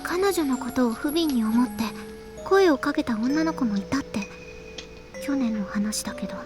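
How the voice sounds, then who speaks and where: A woman's voice: high-pitched, expressive, fast talker, over music. A woman playing a cautious, gossipy teenage schoolgirl in a Japanese visual novel. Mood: hushed warning.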